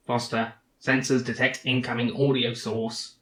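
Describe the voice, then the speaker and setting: Man doing a slow, stilted little robot sidekick. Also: mid-range voice, little pitch movement, fairly bright tone, natural voice. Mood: urgent. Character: snarky little loyal robot companion.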